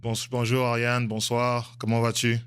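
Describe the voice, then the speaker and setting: A man hosts a casual interview podcast in a middle-pitched voice. He asks his questions loosely and hesitantly, often restarting a phrase before he finishes it. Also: completely flat delivery, moderate pace, a bit bright, smooth. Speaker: podcast host.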